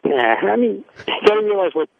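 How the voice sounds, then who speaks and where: A man's voice: high-pitched, sing-song, fairly quick, creaky. A middle-aged man with a New Jersey accent telling casual, rambling stories as an interview guest over the telephone. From Jersey City, United States. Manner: rambling, colloquial. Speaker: interview guest, prank caller. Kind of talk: casual anecdotes in a radio phone interview. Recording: thin, narrow telephone line.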